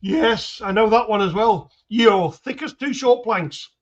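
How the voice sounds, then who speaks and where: Older man, dry and blunt, high-pitched voice, dull lo-fi line. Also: a little slow. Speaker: panel guest. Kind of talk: casual panel chat.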